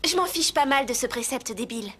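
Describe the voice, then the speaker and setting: A woman's voice: sits high, lively intonation, a little fast, very noisy. Sweet lovestruck anime girl. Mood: tender. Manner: sweet, cajoling. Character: devoted lovestruck young girl fighter, sweet and pushy. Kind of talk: anime French dub.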